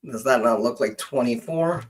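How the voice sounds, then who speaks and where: Husky-voiced man, halting, thinking aloud. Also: medium pitch. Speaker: genealogist hosting a question-and-answer stream. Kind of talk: casual live screen-share walkthrough.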